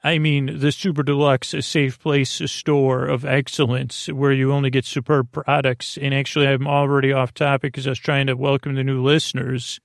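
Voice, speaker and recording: A man with a creaky voice hosting a bedtime podcast, rambling in a halting, goofy, digressive way. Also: medium pitch, no echo, studio quality. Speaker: podcast host.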